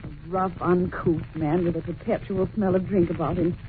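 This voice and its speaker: A woman's voice: fairly low pitch, normal speed, narrow, tinny audio, slight background noise, natural voice. Woman acting an anxious young governess, thin old radio recording. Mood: earnest, uneasy. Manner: polite, earnest, expressive storytelling. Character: young governess, anxious, curious, well-mannered.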